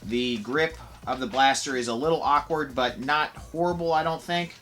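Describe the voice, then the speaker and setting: A man's voice: medium pitch, normal speed, fairly bright tone. Casual man reviewing toys, music behind. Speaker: toy blaster reviewer. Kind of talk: casual product review to camera.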